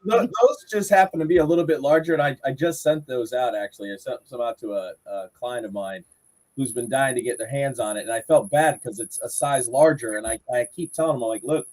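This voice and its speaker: A man's voice: mid-range voice, fairly quick, a little mellow, low-quality recording. A man in his forties from Florida, a podcast guest chatting and telling long rambling stories about his life. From Southwest Florida, United States. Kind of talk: casual chat.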